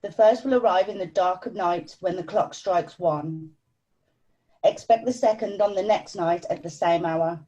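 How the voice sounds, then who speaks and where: Dark, distant tormented ghost in chains woman acting. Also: on the low side, unhurried, close to an everyday voice. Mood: grave, warning. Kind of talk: amateur table read over video call. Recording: dull, distant video call sound.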